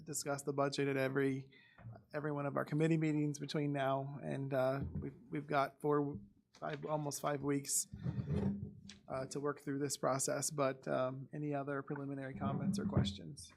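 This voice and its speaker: A man serving as mayor, chairing a city council meeting in a measured, procedural way with a little dry humor. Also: medium pitch, monotone, creaky.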